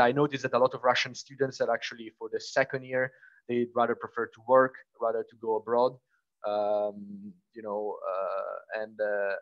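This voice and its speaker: A man's voice: medium pitch, fairly bright tone, no echo, dull audio. A young man from Italy with an Italian accent, answering interview questions casually and hesitantly, with many fillers and restarts. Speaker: former international student.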